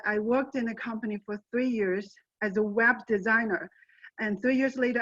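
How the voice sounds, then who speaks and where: A woman's voice: on the high side, a bit bright. Woman presenter, dull video-call sound. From southern China. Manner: earnest, repeats and restarts often. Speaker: guest presenter. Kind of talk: presenting on a webinar.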